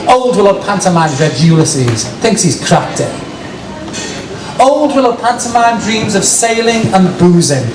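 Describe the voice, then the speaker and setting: Male performance poet for live outdoor recital; theatrical, drawn-out phrasing, heard from a distance over noisy ambience. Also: sits high, roomy sound. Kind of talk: dramatic live poetry recital with casual asides. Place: outdoor city square, poetry tent. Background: street ambience and passers-by noise.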